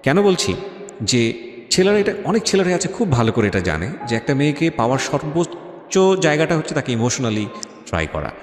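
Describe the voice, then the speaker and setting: A man's voice: mid-range voice, big pitch swings, brisk. A man with a Bangladeshi accent hosts a radio interview, reflecting and advising, with English phrases mixed into his speech. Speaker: radio host. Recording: echoey reverb, faint music bed. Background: faint music.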